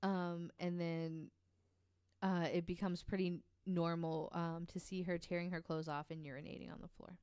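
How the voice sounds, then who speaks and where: A woman's voice: on the low side, little pitch movement, moderate pace, dark tone, clear, resonant tone, dry recording, studio quality. A woman co-hosting a podcast, telling a story casually with many ums and the odd swear word.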